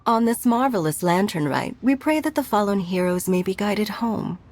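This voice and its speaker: A woman's voice: mid-range voice, lively intonation, a little mellow, slight background noise, natural voice. A woman voicing a poised, formal city leader. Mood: composed. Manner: formal, measured, elegant. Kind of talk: video game voice acting.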